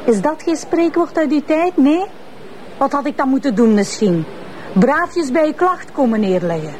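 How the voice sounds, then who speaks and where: A defiant young woman speaks in a radio play. She sounds cold and scornful in a higher voice, with faint noise behind. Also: animated, moderate pace, darkish tone.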